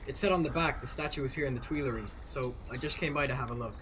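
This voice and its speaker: A reserved teenage boy acted by a man in a children's audio drama. He is polite and plain-spoken, a little guarded, with a mid-range voice. There is clear background noise. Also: mid-range voice, fast, close to an everyday voice. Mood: curious, guarded.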